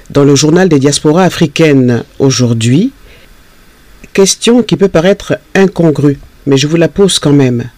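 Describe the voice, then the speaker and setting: A man's voice: a little high, darkish tone, no echo. A man hosting a radio interview, asking probing questions and sometimes stumbling over his words.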